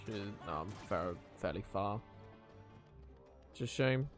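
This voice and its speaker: A man's voice: lowish voice, lively intonation, slow. A man streaming a video game, giving casual, rambling, wry live commentary. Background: game music.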